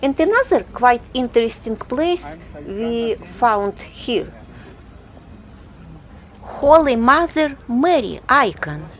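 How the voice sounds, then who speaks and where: Slow, hesitant woman touring outdoors. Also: on the high side, very animated. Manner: slow, hesitant, thinking aloud with pauses. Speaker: travel vlogger. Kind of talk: casual walking tour narration. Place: outdoors on a city square.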